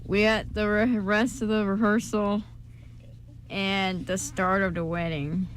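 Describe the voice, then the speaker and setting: A woman's voice: medium pitch, level tone, slow, a crowd in the background. A woman hosting a radio show in a casual, chatty style. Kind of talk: casual chat.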